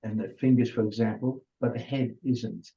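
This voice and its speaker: An older man whose first language is Hungarian gives an unhurried, informal lecture, often restarting his sentences. His voice is mellow and sits in a middle pitch. The recording is dull and lo-fi. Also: gravelly. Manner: unhurried, with many restarts and fillers.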